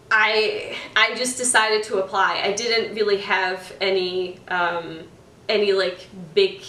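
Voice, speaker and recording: A young woman from Denmark speaks casually and thoughtfully, pausing often and restarting her sentences. Her voice sits at an ordinary pitch and comes from a little way off the mic. The room around her is echoey, with a faint hiss in the background. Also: fairly bright tone, distant mic. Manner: thoughtful, hesitant with many ums and restarts. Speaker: former student. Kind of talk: casual talk.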